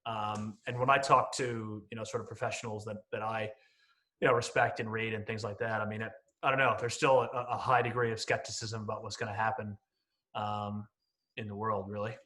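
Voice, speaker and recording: A man's voice: on the low side, flat delivery, brisk, pushed and bright. A man in his late thirties answering as a podcast guest in a conversational, explanatory way with some hedging. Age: late 30s. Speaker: podcast guest, company CEO.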